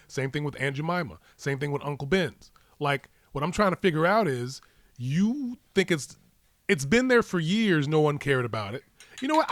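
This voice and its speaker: A man's voice: a little high, dry recording. A man co-hosting a podcast with casual, conversational commentary, arguing his points and repeating phrases for emphasis. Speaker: podcast co-host.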